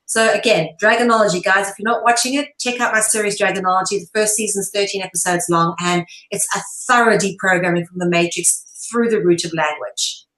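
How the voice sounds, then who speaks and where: A woman's voice: medium pitch, fairly even intonation, fairly quick, few pauses, fairly bright tone. A woman being interviewed, explaining her ideas at length in an earnest, emphatic, lecturing way. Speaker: interview guest, online show presenter. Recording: echoey room.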